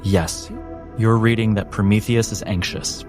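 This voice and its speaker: A man acting as game master, narrating a tabletop roleplaying game dramatically in deliberate, measured phrases. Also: on the low side, steady tone, music in the background.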